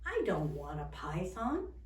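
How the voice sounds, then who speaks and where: A woman's voice: fairly low pitch, wildly swooping pitch, a little slow, echoey room, far from the mic. A woman voicing a stubborn, plain-spoken little girl in a storybook reading, firm and insistent. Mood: firm refusal.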